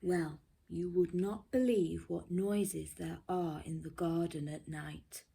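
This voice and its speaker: A woman teacher reading a children's story aloud expressively, often dropping to a hushed near-whisper and asking her young listeners questions. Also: lowish voice, steady tone, slow pace, creaky, breathy edge.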